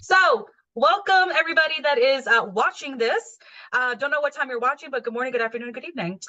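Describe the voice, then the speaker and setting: A woman's voice: high voice, fast talker, poor audio. A woman coach hosting a video call interview, introducing her guest with enthusiasm and many fillers. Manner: enthusiastic, warm, filler-heavy. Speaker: host, coach.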